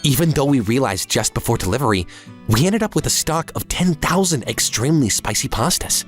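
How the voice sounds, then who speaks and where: A man's voice: medium pitch, animated, brisk, faint music behind, close to an everyday voice. A man playing an earnest, polite young businessman who narrates his own story in a comic drama dub. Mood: narrating, concerned. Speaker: voice actor narrating and playing the lead.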